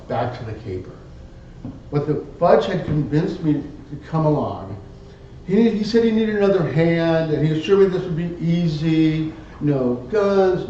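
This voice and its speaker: A man telling a tall tale live as a contest speech, theatrically, in short halting phrases. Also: warm tone. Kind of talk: live dramatic storytelling speech. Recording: very echoey room, distant muffled mic, rumble.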